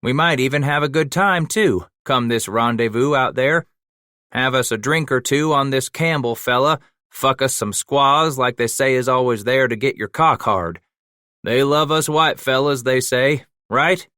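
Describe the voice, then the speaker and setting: A man's voice: mid-range voice, unhurried, bright, forceful tone, no echo, studio quality, voice pushed up. A man voicing a crude, coarse frontiersman who talks in swaggering, drawling slang.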